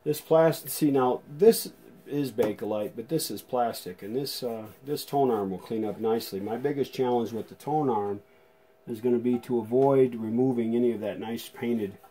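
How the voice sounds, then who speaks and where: A man with a fairly low voice narrates a hands-on repair demonstration. He talks casually, as a hobby restorer would, thinking aloud as he inspects things. His delivery is informal and a little tentative. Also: mellow. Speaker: vintage radio restorer, video host.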